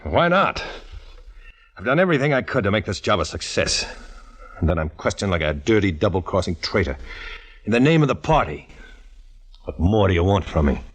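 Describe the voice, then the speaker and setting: A man's voice: sits low, very animated, brisk, pauses often, breathy edge, full character voice. An actor playing a tough undercover agent who tells his own story in hard-boiled first-person narration, in a 1950s radio drama. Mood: defensive.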